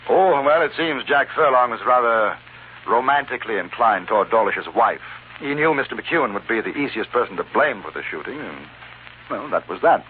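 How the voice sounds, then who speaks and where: A man playing a wry frontier gentleman, lively inflection. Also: mid-range voice, fairly quick, lightly acted. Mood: wry, explaining. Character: wry, composed English frontier reporter explaining a case. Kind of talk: 1950s radio western acting. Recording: muffled, noisy old radio recording.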